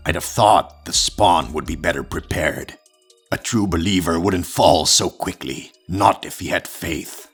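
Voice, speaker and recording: A man acting a low, gravelly religious fanatic from a comic book. He preaches in a pompous, deliberate way with a hard, forceful edge. Music plays under him. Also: heavily acted. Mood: menacing, scornful. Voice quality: gravelly, raspy. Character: zealous self-righteous religious fanatic villain preaching judgement.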